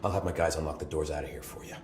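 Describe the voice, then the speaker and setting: A man's voice: very deep voice, very fast, a little mellow, roomy sound, a little hiss or hum. A man playing a chatty, flustered security chief in a video game, rambling and joking informally. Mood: joking, frank. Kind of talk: video game cutscene acting.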